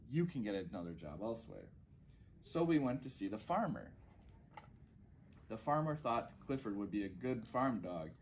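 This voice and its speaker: A man's voice: medium pitch, darkish tone, slight background noise. A man reading a children's storybook aloud as a guest reader.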